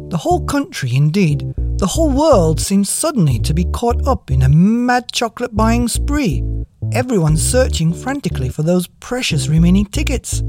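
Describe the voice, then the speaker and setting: A man reading a children's story in an expressive, scripted storytelling manner. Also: sits high. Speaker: audiobook reader.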